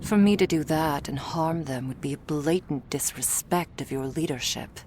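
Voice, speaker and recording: Woman acting low, guarded vampire bodyguard. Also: normal speed, a little mellow, slight background noise, lightly acted. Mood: dutiful. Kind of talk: ASMR roleplay acting.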